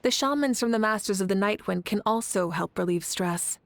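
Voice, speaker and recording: A woman voicing a confident, composed leader in a video game, speaking in a measured, articulate and thoughtful way over music. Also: mid-range voice, creaky, slight background noise, natural voice. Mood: calm, reflective. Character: confident, composed warrior leader, a self-described workaholic idealist. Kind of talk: video game character dialogue.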